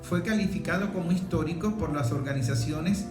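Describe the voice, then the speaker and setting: A Venezuelan man presents political commentary in a slightly raised pitch. He sounds conversational yet didactic, pausing for emphasis. The room adds clear echo, and music plays underneath. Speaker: host. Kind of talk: political commentary to camera, explanatory. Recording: roomy echo with music behind. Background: background music.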